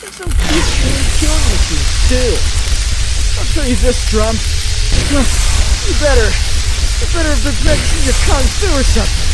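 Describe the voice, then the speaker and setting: Guy doing an excitable jokey young superhero, high voice that swings all over, comic dub, noise behind. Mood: taunting, defiant. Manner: theatrical, joking, exclamatory. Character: wisecracking young male superhero, chatty, excitable, nervy. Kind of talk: comic dub narration and dialogue.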